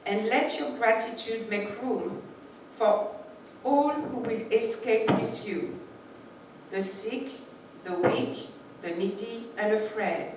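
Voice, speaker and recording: A woman's voice: fairly high pitch, darkish tone. A woman whose first language is not English, giving a reflective spiritual talk and reading passages aloud, with a few restarts. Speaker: spiritual teacher. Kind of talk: sermon with read passages. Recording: echoey room from far off, faint noise.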